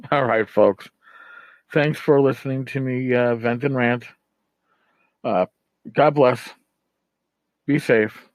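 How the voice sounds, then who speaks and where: A man's voice: mid-range voice, slow pace, pauses often, dry recording. A middle-aged man hosting a gaming podcast, rambling through a casual rant in a blunt, profane, exasperated manner. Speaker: tabletop gaming podcast host. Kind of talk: casual ranting monologue.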